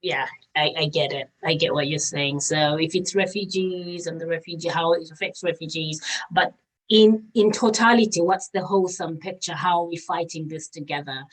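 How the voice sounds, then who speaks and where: Low-voiced woman interviewer, distant mic. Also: moderate pace. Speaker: interview host. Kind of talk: conversational interviewing.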